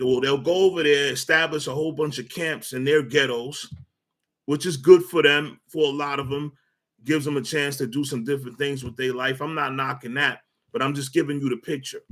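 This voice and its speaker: A man's voice: medium pitch, steady tone, moderate pace, a bit bright. A man hosting a casual sports rant, blunt and opinionated, with lots of fillers, restarts and repeated words. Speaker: sports commentary host.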